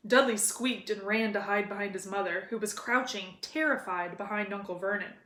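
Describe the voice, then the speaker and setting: A woman's voice: mid-range voice, normal speed, sharp tone. A woman narrating a children's story as a read-aloud. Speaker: reader of a children's novel. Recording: roomy echo.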